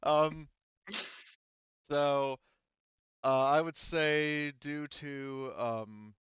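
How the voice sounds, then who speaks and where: A man with a clear, smooth voice works as a game master, talking casually through a tabletop session. The recording is dry and slightly dull, with no room around the voice. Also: a little high, fairly even intonation, extremely slow, a bit bright, dry recording.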